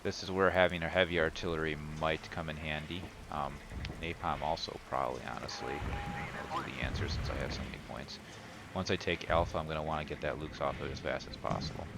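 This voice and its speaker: A man giving unscripted commentary on his own game, thinking aloud in a halting, self-deprecating way with many uhs and ums. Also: very deep voice, fairly even intonation, gravelly, noisy background. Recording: loud game music and battle sounds behind. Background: game music, artillery fire, vehicles.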